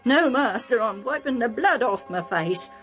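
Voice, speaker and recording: A woman voicing a rough, pleading male herdsman with rustic, uneducated speech in a children's story. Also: a little high, lively intonation, normal speed, a bit bright, faint music behind, pitched above the performer's own voice. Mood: indignant.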